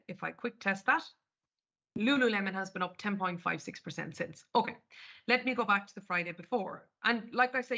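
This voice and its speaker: A woman's voice: mid-range voice, sharp tone. A woman with an Irish accent presenting a live market webinar, chatting her way through each screen step by step. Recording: dull lo-fi webinar audio.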